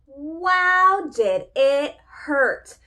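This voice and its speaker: A woman with a high voice reads a children's storybook aloud. Her intonation swings widely and expressively, and she turns to her young listeners with direct questions, in the way of a preschool teacher. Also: expressive, drawn-out words, bright, forceful tone. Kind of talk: animated picture-book read-aloud for young children.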